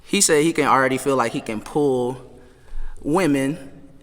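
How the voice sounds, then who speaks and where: A man's voice: medium pitch, measured pace, long reverb tail. A man hosting a casual podcast chat in a gym, talking in a loose, laid-back way. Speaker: podcast host.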